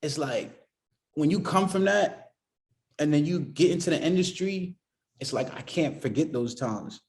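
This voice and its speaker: A man's voice: fairly high pitch, expressive, unhurried, darkish tone. A man from the Boston area, a music producer being interviewed, talking casually and rambling with lots of "you know what I mean" fillers.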